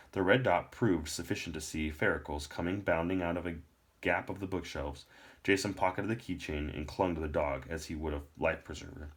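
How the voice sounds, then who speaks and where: A man narrating a novel aloud in a storybook read-aloud style. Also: low voice.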